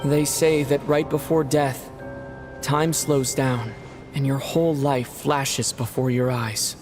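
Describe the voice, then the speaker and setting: An actor playing a calm, reserved traveling hero in a video game, with a measured and thoughtful delivery. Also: male voice, medium pitch, lightly acted. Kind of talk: video game dialogue acting. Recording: studio voice under clear game music. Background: background music.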